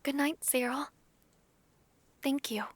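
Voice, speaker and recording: A woman playing a gentle, anxious young half-dragon princess in an audio roleplay, speaking softly and formally. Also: sits high, fairly even intonation, takes it slowly, dry recording. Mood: weary, thoughtful. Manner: soft, pensive, formal old-fashioned speech. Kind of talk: audio roleplay drama acting.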